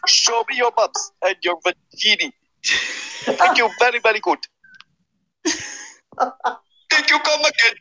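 A man's voice: very high voice, sing-song, slow pace, long pauses, bright, forceful tone, a little hiss or hum, heavily acted, poor audio. A man voicing an overeager male shop clerk who repeats stock phrases in a sing-song way. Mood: giddy.

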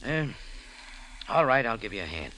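A man's voice: mid-range voice, very animated, normal speed, pushed and bright, slight background noise, low-quality recording. A man acting in a 1940s radio drama as a tough, wry American cafe owner turned sleuth, with an American accent and clipped hardboiled delivery. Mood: probing, cool. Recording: vintage radio broadcast with music.

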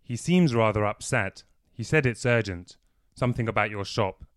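A man voicing a calm, level-headed young man who takes charge in a firm, raised voice. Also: fairly low pitch, normal speed, no room sound, clean studio sound, lightly acted.

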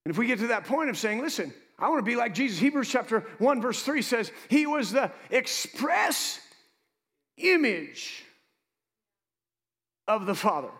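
A man preaching as pastor, moving between loud exhortation and quiet asides, joking with his congregation. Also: very high voice, sing-song, moderate pace. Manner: jokes with the congregation. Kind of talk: preaching a sermon.

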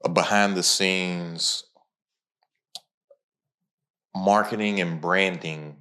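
A man hosting a podcast in an energetic, informal, motivational way. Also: slow. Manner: energetic, informal, pitches his own program. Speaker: podcast host. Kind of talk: casual motivational podcast talk.

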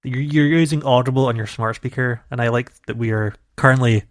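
Man, podcast co-host, rambling casual chat, mid pitch, dry close sound. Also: fairly even intonation, fairly quick, no room sound. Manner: chatty, rambling, laughs easily.

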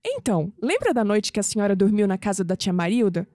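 A woman playing a young, clumsy, curious granddaughter in an audio drama, expressive, with a Brazilian accent. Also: medium pitch, quick pace, in a large hall, noisy background, lightly acted. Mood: excited, curious. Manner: expressive, musing aloud to herself.

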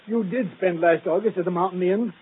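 A man's voice: high voice, brisk, breathy edge. An actor playing an anxious, gullible businessman, fretful and indignant in 1940s radio style. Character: anxious, gullible well-off businessman, hurt and suspicious. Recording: tinny, hissy old radio recording.

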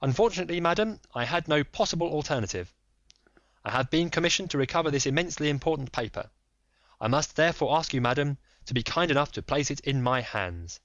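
A man performing a composed detective who presses his point with quiet authority. The voice sits a bit above the narrator's. The recording is dry and muffled. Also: fairly high pitch, lively intonation, dry recording, voice pushed up. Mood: firm. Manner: measured, insistent, authoritative. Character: calm, firm, commanding male detective. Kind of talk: audiobook reading.

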